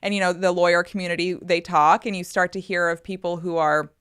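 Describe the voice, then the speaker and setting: A woman's voice: on the low side, flat delivery, fairly quick, a bit bright, clean tone, no echo, clean studio sound. A woman co-hosting a podcast, interviewing a guest in a conversational way. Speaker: podcast co-host.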